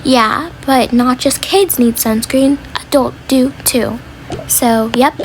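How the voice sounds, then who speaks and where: A high-voiced, creaky mom, played by a woman in a homemade role play. She gives orders in a firm, matter-of-fact but caring way. The home recording is noisy. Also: takes it slowly. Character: brisk, firm mom herding kids on beach day.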